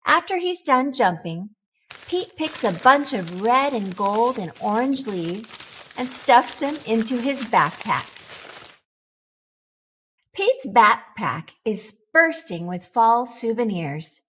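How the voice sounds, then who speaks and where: A woman reading a children's picture book aloud in an expressive, deliberate storytelling manner for young listeners. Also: fairly high pitch, slight background noise.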